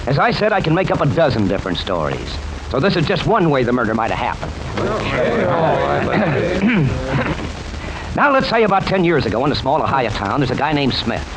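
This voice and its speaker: A man's voice: medium pitch, big pitch swings, a little fast, long pauses, crowd noise, close to an everyday voice. A man playing an insistent juror, telling a persuasive tale in emphatic, slangy 1940s radio style. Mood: persuasive, intent. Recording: noisy 1940s radio recording.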